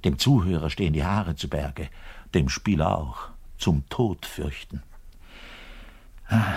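An actor playing a frustrated, self-pitying orchestra double bassist in a radio monologue, with a southern German accent and a colloquial, ranting manner. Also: male voice, darkish tone, breathy edge, heavily acted. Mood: resigned, wistful. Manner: ranting, colloquial, swinging from outbursts to muttered resignation. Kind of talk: German radio play monologue, addressed to the listener.

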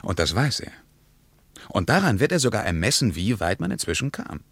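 An actor playing an argumentative, ironic intellectual in a German radio play, speaking emphatically with rhetorical pauses. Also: male voice, fairly low pitch, big pitch swings, a bit bright, slightly rough, no echo, exaggerated voice. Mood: emphatic, ironic. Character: male traveller, argumentative, ironic intellectual debating politics.

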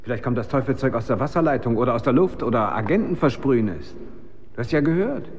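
A man's voice: mid-range voice, very animated, fast, darkish tone, close to an everyday voice. An actor in a German radio drama playing a sober, questioning man who reasons things through with resignation. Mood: resigned. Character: sober, resigned man seeking answers about the threat. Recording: faintly noisy radio recording.

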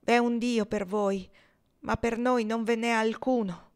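A woman's voice: on the high side, fairly even intonation, a little slow, pitched above the performer's own voice, close to an everyday voice. A woman voicing a desperate young husband who pleads and turns bitter, in a read-aloud story.

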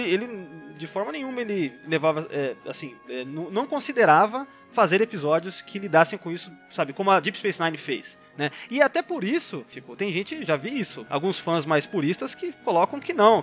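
Animated, high-pitched Brazilian man podcasting. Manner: conversational, frequently seeking agreement with tag questions. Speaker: podcast co-host. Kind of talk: casual fan discussion. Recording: dull lo-fi audio, music underneath. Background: background music.

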